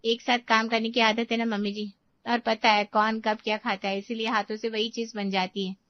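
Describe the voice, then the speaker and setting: An actress playing a competitive, self-righteous young daughter-in-law in a cartoon story. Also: female voice, fairly high pitch, little pitch movement, moderate pace, no echo, highs cut off, natural voice. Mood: smug, defiant.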